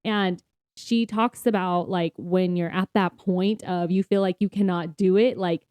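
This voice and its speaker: A woman's voice: fairly low pitch, steady tone, unhurried, darkish tone, clean tone, no room sound. A woman co-hosting a podcast in casual chat, with an upbeat manner and a readiness to laugh as she talks. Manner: upbeat, laughs often while talking. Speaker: podcast co-host.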